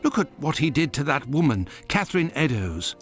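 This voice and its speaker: An actor playing an earnest, troubled gentleman, a detective's loyal companion, in a video game, speaking formally. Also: fairly high pitch, big pitch swings, normal speed, faint music behind. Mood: troubled, questioning. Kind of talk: scripted video game acting.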